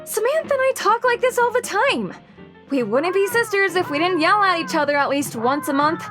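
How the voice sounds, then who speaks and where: A high-strung woman with a very high voice. She is intense, argumentative and exacting, a perfectionist in an audio dramedy. Light music sits behind her. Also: lively intonation, fairly quick. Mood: defensive, grudging. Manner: intense, demanding, indignant.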